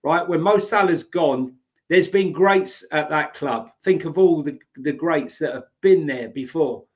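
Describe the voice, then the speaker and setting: A man's voice: on the high side, measured pace. A man co-hosting a football podcast, blunt and opinionated, often repeating his words. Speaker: podcast co-host. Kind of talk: casual football chat.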